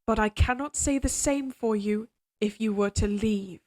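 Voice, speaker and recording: A woman voicing an obsessively devoted, quietly menacing evil queen in an audio drama, speaking in a formal, measured, imperious way. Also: mid-range voice, close to an everyday voice. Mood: controlled menace. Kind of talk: scripted dramatic audio roleplay.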